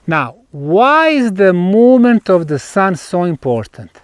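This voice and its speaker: A man guiding an on-site tour outdoors, explaining in emphatic, deliberate short phrases with pauses. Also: high voice, sing-song, clear, resonant tone, no echo, clean studio sound. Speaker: tour guide and researcher.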